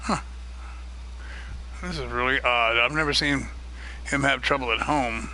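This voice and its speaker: A man hosting a live stream of a multiplayer farming game, chatting casually and greeting viewers by name, his voice getting a little hoarse. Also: medium pitch, a little slow, bright, forceful tone, slight background noise, rough recording. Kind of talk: casual live-stream chat while gaming.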